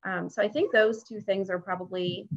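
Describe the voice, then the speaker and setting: A woman's voice: medium pitch, steady tone, moderate pace. American woman on a dull-sounding video call. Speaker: panelist, mediator. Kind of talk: panel discussion, explaining. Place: indoors, home dining room. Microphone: video call computer microphone.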